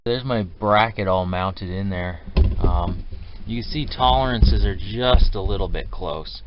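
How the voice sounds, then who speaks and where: A man's voice: lowish voice, unhurried. A man giving a casual, plainspoken how-to narration of his own DIY work, talking to viewers informally. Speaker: DIY truck restorer hosting his build videos. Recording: dull lo-fi camera audio, light noise.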